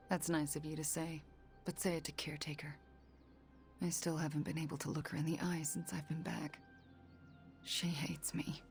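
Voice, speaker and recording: A woman playing a fragile, self-loathing young sorceress in a video game, hushed and sighing. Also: low voice, little pitch movement, moderate pace, pauses often, faint music behind. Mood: subdued, weary. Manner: hushed, sighing, breathy on heavy words. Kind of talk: video game voice acting, naturalistic drama.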